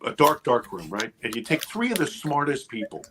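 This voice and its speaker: A man's voice: medium pitch, huge pitch swings, moderate pace, noisy background. A man in his fifties, a guest on a podcast, talking casually and with energy, swearing freely and checking in with "right?" and "okay". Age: 50s.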